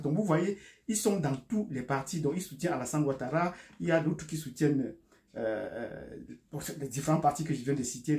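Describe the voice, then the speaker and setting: A man's voice: on the high side, warm tone. A man from Cameroon with a Cameroonian French accent, giving an explanatory monologue to his subscribers, often repeating and rephrasing his points. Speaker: video host and geopolitical commentator. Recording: echoey, roomy sound.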